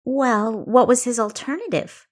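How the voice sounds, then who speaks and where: A woman's voice: fairly high pitch, unhurried, voice pushed up. A woman voicing a young female adventurer in an audiobook, an eager, stubborn younger sister with a pointed, questioning way of talking. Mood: indignant.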